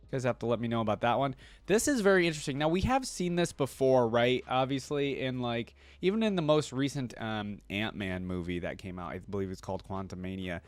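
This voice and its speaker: A man hosts a casual reaction video and rambles eagerly with plenty of "like" and "um". His voice sits at a middling pitch and sounds dry and close to the mic. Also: animated, fairly quick, few pauses, clear, resonant tone, dry recording. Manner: enthusiastic, rambling, many fillers.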